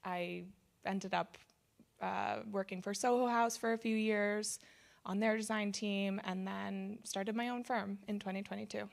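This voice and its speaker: A woman's voice: mid-range voice, steady tone, measured pace, vocal fry. A woman interior designer telling her career story casually on a panel, wandering into asides.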